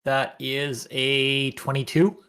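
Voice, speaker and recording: A man's voice: medium pitch, flat delivery, slow, fairly bright tone, clear, resonant tone. A man playing a tabletop game over an online call, thinking aloud as he decides his moves. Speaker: player. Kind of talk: casual tabletop play.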